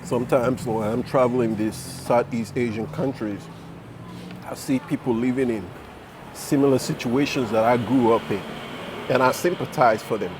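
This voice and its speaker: A man's voice: medium pitch, moderate pace, background noise. A Nigerian man in his thirties, vlogging as he walks down a city street and talks earnestly to his camera, repeating phrases for emphasis. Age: 30s. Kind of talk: walking personal vlog to camera. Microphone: handheld self-filming camera.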